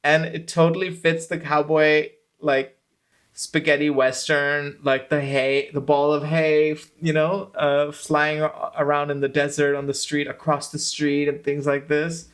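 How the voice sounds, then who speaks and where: A man's voice: a little high, level tone, unhurried. Reflective man talking through his film. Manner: thoughtful, self-critical, grows enthusiastic. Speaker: filmmaker and channel host.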